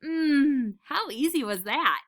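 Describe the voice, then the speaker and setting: A woman voicing an excitable, gleeful male pet dog who loves treats, in a children's storybook read-aloud. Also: high-pitched, takes it slowly, voice pushed up, heavily acted. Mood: excited. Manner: exclamatory, gleeful, boastful.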